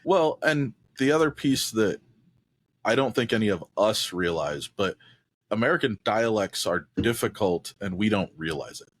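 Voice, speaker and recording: A man's voice: lowish voice, lively intonation, moderate pace. A man co-hosting a podcast in casual chat, explaining his points at length and often checking in with "right?". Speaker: podcast co-host.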